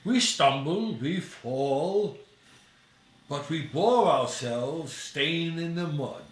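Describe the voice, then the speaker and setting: A man's voice: a little high, animated, extremely slow, reverberant, far from the mic, low-quality recording. A man voicing a redeemed, hopeful ghost in a storybook reading, speaking in grand, solemn, old-fashioned phrases. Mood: consoling.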